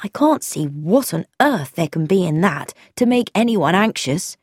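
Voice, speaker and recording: A woman reading aloud and voicing a thoughtful, easygoing young man, speaking slowly in a plain, lowered tone. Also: big pitch swings, darkish tone, slightly rough, no echo, studio quality, exaggerated voice. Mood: puzzled.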